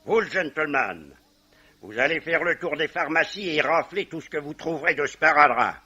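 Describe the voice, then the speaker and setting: An actor playing a gruff, middle-aged Irish clan chief, commanding and sarcastic, in 1950s French radio drama style. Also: male voice, a little high, expressive, moderate pace, sharp tone, heavily acted. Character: gruff, bossy Irish clan patriarch, hot-headed and scornful. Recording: dull, band-limited old radio recording.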